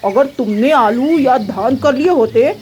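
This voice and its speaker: A woman voicing a smug male pigeon farmer in a children's cartoon, talking in a loud, taunting, exclamatory way. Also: high voice, animated, measured pace, a little hiss or hum. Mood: scoffing.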